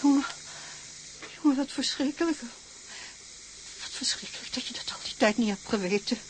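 Casting: female actor as a motherly comforter in a period drama, fairly high voice, pitying and upset, faint hiss behind. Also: normal speed, lightly acted, low-quality recording. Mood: pitying, consoling. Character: compassionate motherly woman, distressed, consoling.

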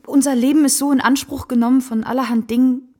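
An actress playing a worldly, self-possessed kept woman of the theatre in a radio play, courteous and theatrical, with a husky, gravelly voice. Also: sits high, fairly even intonation, quick pace, a little mellow. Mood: composed, discreet. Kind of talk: German radio play acting.

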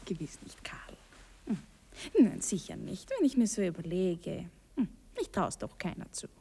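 A playful young Austrian wife in an old radio drama. Her voice is soft, breathy and mellow, swooping with coy, wheedling curiosity in a reverberant recording. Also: fairly low pitch, big pitch swings, moderate pace, in a whisper, heavily acted. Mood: coy, evasive. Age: young adult. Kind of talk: 1960s German-language radio play acting.

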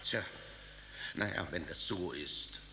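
An actor playing a servile, scheming courtier who speaks slowly and floridly in a radio drama. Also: male voice, low-pitched, sharp tone, a little hiss or hum, exaggerated voice. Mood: unctuous. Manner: slow, flowery and servile. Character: fawning, scheming male leader of the seven sages.

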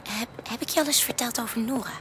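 A woman playing a hesitant, earnest character in a radio drama, halting and searching for words, with breathy drops in her voice. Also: a little high, lightly acted. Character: hesitant, earnest woman recommending a spiritual helper. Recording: clear background ambience noise.

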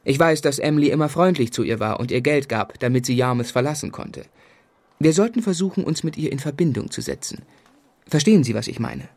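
An actor playing an earnest, compassionate young gentleman writer, narrating his life in measured, articulate for a radio drama. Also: medium pitch, lively intonation, no echo. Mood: earnest, urgent. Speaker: narrator and lead character. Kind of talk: literary first-person narration in German radio drama. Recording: music bed under the voice. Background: music.

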